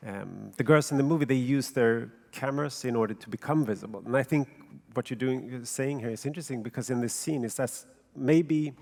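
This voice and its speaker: A man from Sweden, co-writer of a film, answering on a festival panel in a thoughtful, halting way full of ums and restarts. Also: medium pitch, creaky, darkish tone. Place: festival stage in a large hall. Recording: long reverb of a large hall.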